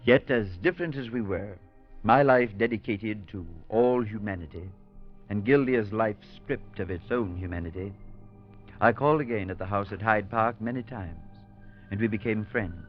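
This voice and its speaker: An actor playing an earnest, kindly male priest who narrates a 1940s radio drama in a measured storytelling manner. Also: fairly low pitch, soft background music, natural voice. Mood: narrating. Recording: dull 1940s radio broadcast.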